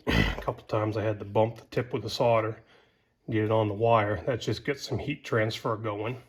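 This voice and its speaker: A man's voice: lowish voice, steady tone, moderate pace, slightly rough. Folksy man giving a casual how-to. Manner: folksy, colloquial. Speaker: host of a DIY how-to video. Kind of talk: casual hands-on tutorial talk while working.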